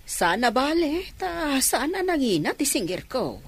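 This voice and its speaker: A woman's voice: high-pitched, very animated, measured pace, full character voice. An actress playing a friendly, practical older female food vendor in a radio drama, with a Filipino Ilocano accent. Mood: persuasive.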